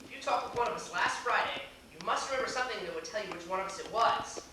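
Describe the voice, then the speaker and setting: Flustered, indignant young suitor woman performer, bright voice, halting formal delivery, amateur stage comedy, very echoey distant pickup. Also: mid-range voice, expressive, slight background noise. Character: flustered, indignant young man awaiting a blind date. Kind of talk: amateur one-act comedy stage acting. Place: theater stage, recorded from far off.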